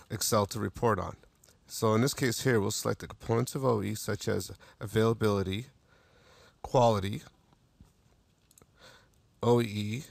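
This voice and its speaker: Male tutorial narrator, low and gravelly, plain step-by-step delivery, dry booth sound. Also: no echo. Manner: steady step-by-step instruction with small restarts. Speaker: software demo narrator.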